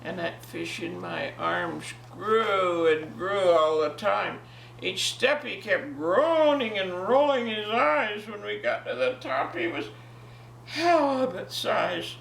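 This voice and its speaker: An elderly woman of about ninety speaks with a creaky, gravelly voice and a jovial, expressive manner. She sounds like a grandmother sharing a story at home. The recording is roomy and a bit distant, with faint noise. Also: medium pitch, slow. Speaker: grandmother telling a family story. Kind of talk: casual reminiscing and animated story reading for family.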